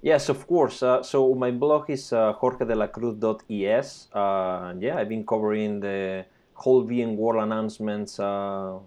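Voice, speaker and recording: Spanish guy guest on a podcast, lowish voice, lots of uhs. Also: fairly even intonation, measured pace, few pauses, a little mellow. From Spain. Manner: hesitant, many "uh"s. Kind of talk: casual interview answers.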